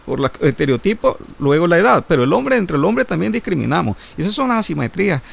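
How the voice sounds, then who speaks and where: A man's voice: fairly high pitch, sing-song, fast, gravelly, husky. A man who is a criminal lawyer, explaining legal points in a panel discussion with frequent hesitations and legal jargon.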